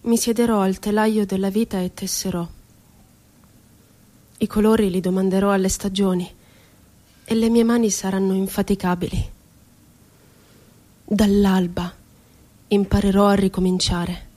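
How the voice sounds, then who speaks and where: A woman's voice: mid-range voice, little pitch movement, measured pace, pauses often, dark tone, close to an everyday voice. An actress playing a wry, childlike, wounded woman poet confined in an asylum, in a lyrical theatrical monologue. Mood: tender, solemn. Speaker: stage actress. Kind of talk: theatrical monologue for radio drama.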